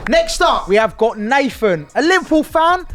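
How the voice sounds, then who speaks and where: A man's voice: very high-pitched, sing-song, normal speed, fairly bright tone, soft background music, a faint crowd. A man hosting a fan debate show, teasing the contestants and announcing their scores. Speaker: host.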